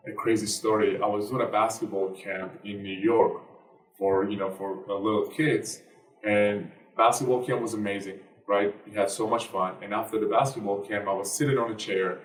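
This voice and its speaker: A young man speaks with a Turkish accent in a low voice, earnest and halting, with plenty of fillers. He sounds a little far from the mic in a roomy space. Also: steady tone. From Turkey. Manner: earnest, halting, many fillers and broken grammar. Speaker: guest, basketball player and activist. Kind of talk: interview answers.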